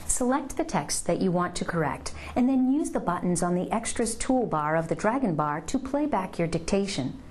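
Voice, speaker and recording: A woman reading scripted instructional narration for a software tutorial, giving clear step-by-step directions. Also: medium pitch, a little hiss or hum.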